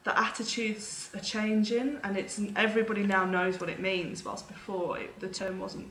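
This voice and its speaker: A woman's voice: medium pitch, level tone, moderate pace, a bit bright. British woman with a roomy echo. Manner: chatty, laughs easily, fillers like um. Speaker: guest, vegan podcast host. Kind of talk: casual interview chat.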